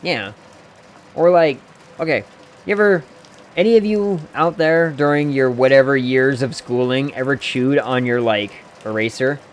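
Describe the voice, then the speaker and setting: A man's voice: mid-range voice, unhurried, slight background noise. A man streaming a video game, rambling and joking in asides to his viewers. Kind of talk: casual let's-play commentary.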